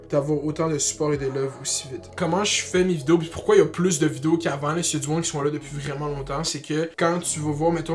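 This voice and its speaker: A young man with a Québécois French accent talking casually to camera as a YouTuber, in slangy speech peppered with English words. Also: fairly high pitch. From Quebec, Canada. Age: young adult. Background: music.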